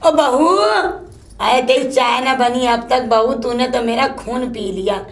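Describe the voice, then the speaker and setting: A woman playing a shrill, cursing old mother-in-law who keeps scolding her daughter-in-law. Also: slow pace. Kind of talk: comic one-woman sketch.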